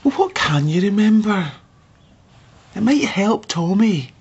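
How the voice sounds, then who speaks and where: Husky woman as a tired patient daughter in a radio play, voice lifts and drops a lot, bit dull sound. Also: mid-range voice, big pitch swings, slow, a little mellow, full character voice. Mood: patient, reasoning. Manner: patient, reasoning. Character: weary, patient grown daughter caring for her father. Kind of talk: radio drama dialogue.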